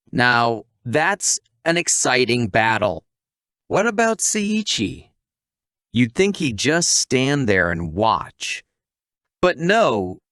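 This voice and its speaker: Guy doing a scripted recap voiceover, slow pace, really animated pitch, playful asides, super dry sound. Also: no room sound. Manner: playful asides and rhetorical questions to the viewer. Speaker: anime recap narrator, channel host. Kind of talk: scripted story recap narration.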